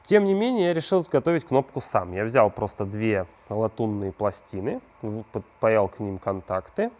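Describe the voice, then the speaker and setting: A man's voice: on the low side, a little mellow. A man hosting a craft channel, explaining a prop build step by step in a conversational way and posing questions to the viewer. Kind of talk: hands-on prop-making tutorial narration.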